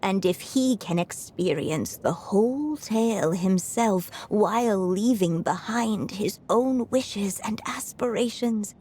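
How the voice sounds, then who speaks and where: A woman voicing a gentle, wise mother who is a writer, speaking softly and at times half-whispering. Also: medium pitch, slight background noise, lightly acted. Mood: tender. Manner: soft, unhurried, often drifting into a whisper. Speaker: storyteller mother. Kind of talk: video game voice acting.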